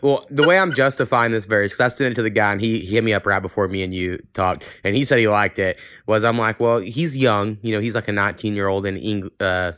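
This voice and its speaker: A man's voice: fairly low pitch, quick pace, few pauses, a bit bright, smooth, dry recording, clean studio sound. A man in his thirties hosting a podcast in a casual, conversational way. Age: 30s. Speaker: podcast host. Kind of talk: casual chat.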